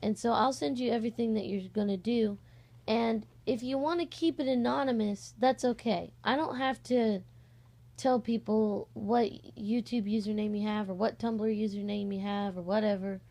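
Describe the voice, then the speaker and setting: Young woman rambling casually on a vlog, lots of vocal fry, kinda scattered. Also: on the high side, steady tone, moderate pace, warm tone. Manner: informal, scattered, easily sidetracked. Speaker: amateur voice actor and video host. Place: on a bed at home, indoors.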